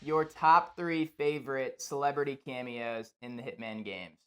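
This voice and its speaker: A man's voice: mid-range voice, steady tone, moderate pace, smooth, faint music behind. A man co-hosting an interview in a casual, joking chat style. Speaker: interview co-host.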